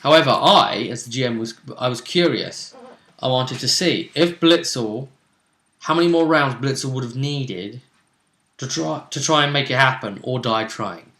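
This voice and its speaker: A man's voice: mid-range voice, animated, normal speed, fairly bright tone. A man in an echoey room talks casually as a game master going over a tabletop role-playing game. His delivery is conversational, and the room rings noticeably around his voice.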